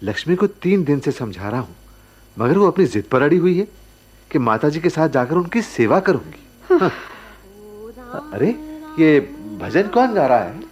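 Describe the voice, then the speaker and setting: An actor in a radio drama playing a worried middle-aged uncle, earnest and deferential in manner. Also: male voice, a little high, big pitch swings, normal speed, pauses often, a little mellow, dull audio, slight background noise. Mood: perplexed. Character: worried middle-aged uncle, respectful guardian of his niece.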